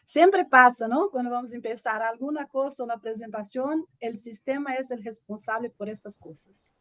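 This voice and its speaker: A woman with a Brazilian Portuguese accent delivers a guest lecture over a video call. Her voice sits fairly high, the sound is dry and close, and she restarts her phrases often in a courteous, careful way. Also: moderate pace, fairly bright tone, no room sound. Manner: hesitant, polite, restarts often. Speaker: guest speaker. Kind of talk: online lecture presentation.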